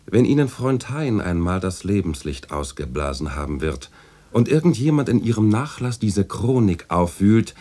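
An actor playing a jovial, teasing literary critic in a German radio play, husky and theatrical, speaking in florid, ironic phrases. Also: male voice, fairly low pitch, mellow. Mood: teasing, amused.

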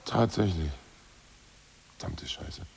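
A gruff ousted party boss. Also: male voice, sits low, slow, a little mellow, exaggerated voice. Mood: blunt. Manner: blunt, coarse, self-assured bluster. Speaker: actor in radio play. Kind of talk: 1980s radio drama acting.

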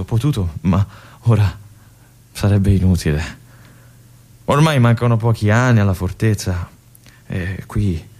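An actor playing an aging, lonely army lieutenant in a theatrical radio monologue, reflective and resigned, with long pauses and soft whispered asides. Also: male voice, on the low side. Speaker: stage actor.

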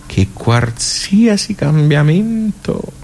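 An actor with a Tuscan accent playing a plain-spoken village social club regular in a radio monologue, rambling and hesitant, repeating himself with chatty asides. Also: male voice, fairly high pitch, big pitch swings, extremely slow, gravelly, a little mellow, slight background noise, heavily acted. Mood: bewildered, subdued. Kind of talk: theatrical radio monologue, colloquial storytelling.

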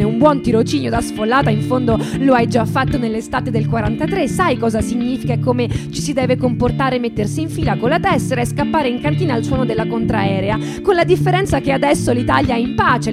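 A woman giving a deliberate, theatrical reading of literary narration. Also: on the high side. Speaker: reader and stage performer. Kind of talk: dramatic literary reading, second-person narration. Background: plucked acoustic guitar music.

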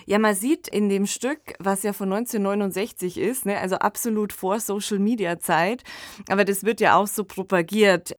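A woman's voice: mid-range voice, fairly even intonation, moderate pace, no room sound, clean studio sound. A woman hosting a podcast discussion, explaining her thoughts in a casual, conversational way. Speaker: podcast host.

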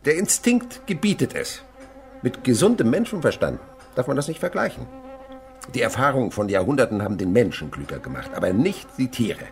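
An actor playing an elderly French insect researcher in a German radio play, lecturing emphatically and commanding those around him. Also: male voice, mid-range voice, a little fast, gravelly. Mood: insistent lecturing. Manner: emphatic, animated, instructive. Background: buzzing insects.

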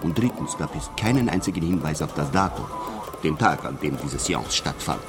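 Radio drama: scornful showman exposing fakery, very deep, emphatic, music behind. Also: animated, moderate pace. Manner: mocking, emphatic. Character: scornful, emphatic showman debunking spiritualist trickery. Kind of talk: German radio drama acting.

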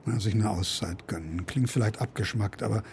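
An actor playing an eccentric older literature professor in a radio play, blustering, boastful and scolding in a pompous manner. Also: male voice, fairly low pitch, brisk, vocal fry, darkish tone, a little hiss or hum. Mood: lecturing.

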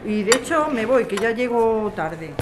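A woman playing a tired, curt woman with something to hide in a radio soap. Her voice is a little high, with an accent from Spain, over faint noise and clicks. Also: expressive, unhurried. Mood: evasive. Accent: Spanish. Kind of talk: radio soap opera dialogue.

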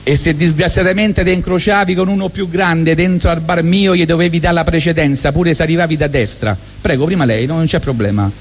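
A middle-aged man from Rome with a Roman accent, telling a wry, deadpan comic story on stage at a live event. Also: a little high, a little fast, few pauses, in a large hall, soft background music. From Rome, Italy. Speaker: monologue performer.

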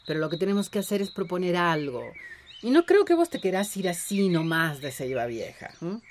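A woman's voice: fairly low pitch, fairly quick, a little hiss or hum. An actress in a radio drama playing a cheerful, practical village woman with a Central American accent, full of exclamations. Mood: calming, practical. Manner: expressive, exclamatory.

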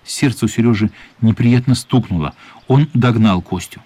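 A man narrating a children's radio play as a wry storyteller with a husky voice. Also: medium pitch, moderate pace, warm tone, dry recording, close to an everyday voice. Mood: storytelling.

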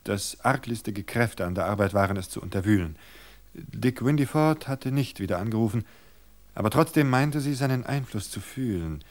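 A man narrating a novel in a measured storytelling manner, slowing for suspense. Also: mid-range voice.

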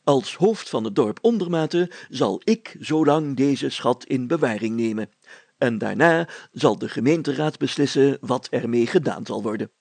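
A man's voice: mid-range voice, lively intonation, normal speed, gravelly, a little mellow, no room sound. A man voicing a pompous, fussy village mayor in an audiobook, speaking formally and stumbling into self-corrections. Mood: solemn, commanding.

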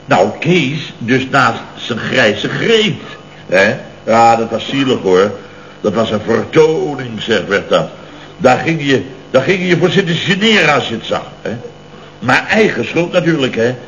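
Blustering, animated Dutch boss. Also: male voice, medium pitch, unhurried. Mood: smug, exasperated. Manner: rambling, emphatic, repeats himself. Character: blustering, boastful, scatterbrained middle-aged building-firm boss. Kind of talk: 1970s Dutch radio comedy acting. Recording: dull, noisy old radio recording with hum.

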